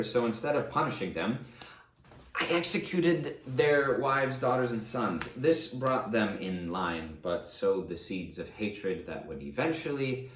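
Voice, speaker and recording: Male narrator as remorseful conqueror, deep pitch, grave, emphatic, measured confession, dramatic audiobook reading, roomy echo. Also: slight background noise. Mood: cold, regretful. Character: remorseful fallen tyrant conqueror confessing his atrocities.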